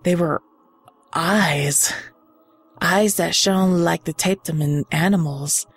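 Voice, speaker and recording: A woman giving a dramatic, suspenseful first-person reading of a horror story, pausing deliberately for emphasis. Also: lowish voice, husky, dry recording. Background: background music.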